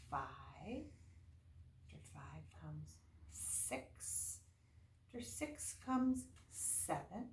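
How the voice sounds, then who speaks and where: A woman's voice: deep voice, gravelly. A woman teaching young children, explaining things simply, asking the listener questions and reading aloud. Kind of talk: early-grade lesson and read-aloud to children. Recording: roomy, distant mic, faint noise.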